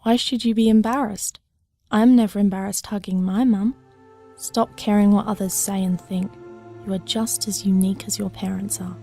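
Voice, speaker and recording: A woman's voice: medium pitch, fairly even intonation, normal speed, mellow, faint music behind, natural voice. A young woman playing an earnest, idealistic, old-souled girl with formal wording in an audio drama. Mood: earnest, tender. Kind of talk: scripted audio drama dialogue.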